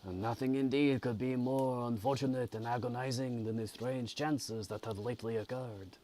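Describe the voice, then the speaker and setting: A man voicing a courteous, sympathetic French gentleman from a gothic novel, with a put-on French accent and formal phrasing. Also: medium pitch, steady tone, moderate pace, a little hiss or hum, voice pushed up, close to an everyday voice. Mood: gentle concern.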